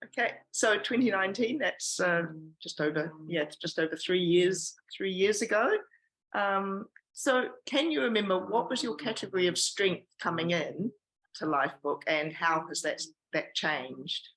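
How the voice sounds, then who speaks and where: A woman's voice: lowish voice, unhurried, a bit bright, low-quality recording. A woman hosting an online workshop interview, asking questions in a casual, hesitant way. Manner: hesitant, searching for words.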